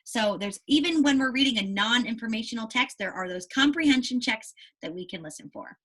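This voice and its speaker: A woman's voice: fairly high pitch, lively intonation, a little fast, fairly bright tone, slightly rough. A woman teacher reading a children's book aloud, explaining it to young pupils in an instructive way with frequent check-in questions. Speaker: elementary school teacher.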